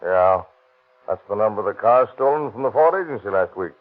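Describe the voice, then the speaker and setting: A band-limited 1930s radio drama recording features a plain-spoken police detective. His husky voice moves in a lively way. He is eager, terse and inquisitive. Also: fairly low pitch, brisk, phone-quality sound. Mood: alert.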